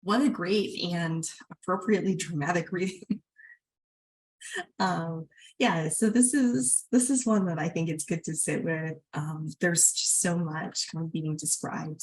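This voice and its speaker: A woman with a husky voice hosting a literary reading in a casual, appreciative way. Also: sits low, a little slow, poor audio. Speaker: host of an online literary reading.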